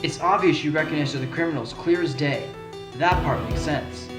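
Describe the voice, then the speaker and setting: A man's voice: fairly high pitch, moderate pace, lightly acted. A man playing a determined, probing fox defense attorney in a fan courtroom audio drama, given to dramatic delivery. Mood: pressing, suspicious. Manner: dramatic shouted objections, pondering asides. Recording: roomy echo under loud music.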